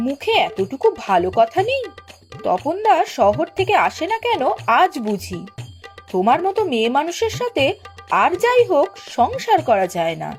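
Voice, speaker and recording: A woman's voice: extremely high pitch, a little mellow, full character voice. A woman voicing a protective village mother in a cartoon, firm and indignant. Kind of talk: cartoon voice acting.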